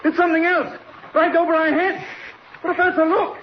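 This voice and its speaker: An actor playing a nervous, excitable henchman to an evil professor in a 1940s radio serial. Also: very high-pitched, moderate pace. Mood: alarmed. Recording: noisy, muffled old radio recording.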